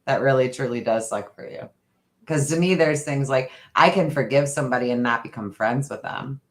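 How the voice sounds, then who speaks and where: A man's voice: mid-range voice, moderate pace, fairly bright tone, echoey room. A man co-hosting a podcast in casual chat, talking through his thoughts in a rambling, reflective way. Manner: rambling, reflective, talks through ideas aloud. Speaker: podcast co-host.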